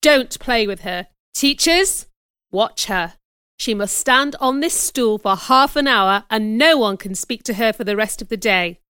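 A female narrator plays a domineering, pious schoolmaster in a storybook. He scolds and commands with angry emphasis, in a smooth, measured voice recorded dry and close. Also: on the high side, animated, sharp tone, dry recording. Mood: scornful, accusing. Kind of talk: audiobook character voice.

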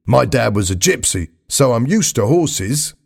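A man reading aloud, voicing a soft-hearted, eager man in a brisk, slightly raised voice. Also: mid-range voice, big pitch swings, vocal fry, husky, voice pushed up, heavily acted. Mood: hopeful.

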